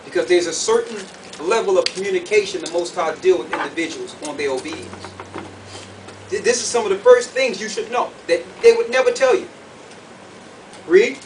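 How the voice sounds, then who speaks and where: A man's voice: sits high, expressive, moderate pace. A man preaching and teaching scripture verse by verse in an emphatic, didactic way, often checking that listeners follow. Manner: emphatic, didactic, checks understanding with short questions. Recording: distant mic, faint background noise.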